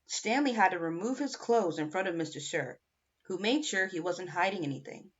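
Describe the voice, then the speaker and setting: A woman's voice: lowish voice, lively intonation, normal speed. A woman reading a children's novel aloud as scripted audiobook narration, on a lo-fi home recording. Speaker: audiobook reader.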